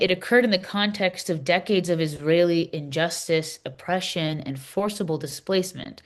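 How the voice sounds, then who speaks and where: Woman acting an earnest, low-pitched female Palestinian advocate. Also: close to an everyday voice. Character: impassioned Palestinian woman explaining a church document. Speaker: readers theater performer. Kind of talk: scripted readers theater dialogue.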